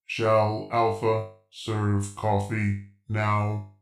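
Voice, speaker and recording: A man playing an obedient robot servant in a radio drama, speaking in a flat, stilted way and calling itself by name. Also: on the low side, very slow, vocal fry, heavily acted. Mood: flat, servile. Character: slow obedient robot servant made of aluminum. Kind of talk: old-time radio drama acting. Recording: echoey, with music behind.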